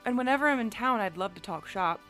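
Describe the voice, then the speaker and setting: A woman's voice: medium pitch, animated, quick pace, a bit bright, soft background music, close to an everyday voice. A woman playing an intelligent, curious, coy engineer in an audio drama, articulate and well-spoken. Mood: friendly.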